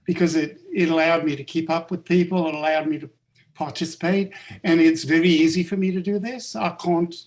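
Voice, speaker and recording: Higher-voiced man with a South African accent, workshop leader, halting and hesitant delivery. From Canada. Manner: halting, hesitant, many fillers and restarts. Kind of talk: personal account in group discussion.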